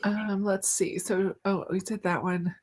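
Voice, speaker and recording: A woman's voice: mid-range voice, steady tone, a little slow, breathy edge. A woman hosting a casual book discussion, chatting informally and often laughing through her words.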